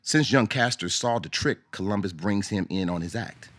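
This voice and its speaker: A man narrating a scripted radio drama as a storyteller, in a measured, dramatic way. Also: on the low side, fairly bright tone, no room sound.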